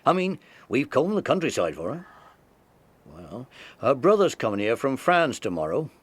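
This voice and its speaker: An actor playing a puzzled police sergeant in a children's audio drama. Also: male voice, medium pitch, big pitch swings, normal speed, breathy edge. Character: baffled police sergeant, grown man.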